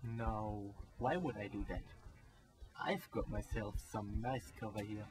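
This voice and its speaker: A man's voice: on the low side, steady tone, measured pace, music in the background, close to an everyday voice, poor audio. A man acting a polite soldier in a wartime radio drama. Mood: civil, friendly. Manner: polite, mixes in German words. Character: calm, civil German soldier, former medical student.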